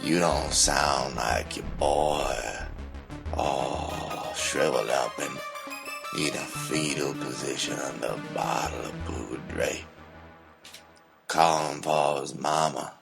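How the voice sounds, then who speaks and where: A man playing a menacing, bitter old cult leader in an audio drama, with a gravelly voice and a rustic, brooding way of talking. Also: a bass voice, steady tone, takes it slowly, music in the background, heavily acted. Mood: menacing, mocking.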